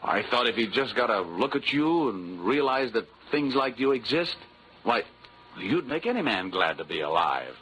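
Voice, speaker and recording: An actor playing a wisecracking, flirtatious hard-boiled investigator, narrating and bantering sardonically in a 1940s radio drama. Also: male voice, mid-range voice, big pitch swings, normal speed, bright, forceful tone, a little hiss or hum, low-quality recording. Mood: coaxing. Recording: old 1940s radio recording.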